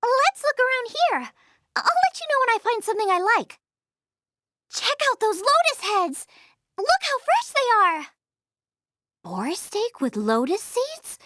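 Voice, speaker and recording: A woman's voice: extremely high pitch, very animated, unhurried, pauses often, sharp tone, dry recording, studio quality, full character voice. A woman voicing a bubbly, adventurous teenage girl chef in a video game, eager and confident, often exclaiming. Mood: cheerful, confident. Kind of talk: video game character acting.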